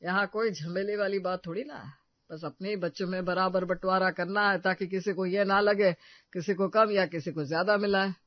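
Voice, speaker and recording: Casting: man to play older patriarch for a family audio story, high pitch, plain-spoken, practical, dry slightly dull sound. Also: fairly even intonation, moderate pace, no echo, natural voice. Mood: hospitable. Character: elderly family patriarch, caring, practical father-in-law. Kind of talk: Hindi audio story acting.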